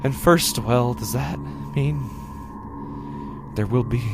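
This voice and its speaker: Male actor as anguished undead man, horror audio drama, very slow halting delivery, brooding, whispered drops, music behind. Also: mid-range voice, close to an everyday voice. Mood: anguished questioning. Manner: halting, brooding, repeating himself, dropping into whispers. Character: anguished male living corpse, rotting, tired and hungry.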